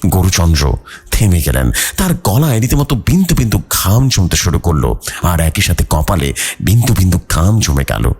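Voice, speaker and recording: A man's voice: low voice, sing-song, normal speed, a little mellow, slightly rough. A man narrating a horror story as its host, in a suspenseful, dramatic storytelling manner.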